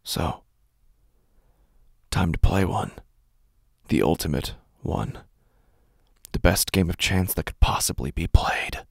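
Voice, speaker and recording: A man playing a weary, fatalistic gambler who doubts reality, narrating a horror audio drama in brooding, deliberate, halting phrases. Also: sits low, gravelly, dry recording, studio quality, full character voice. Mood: grimly resolved.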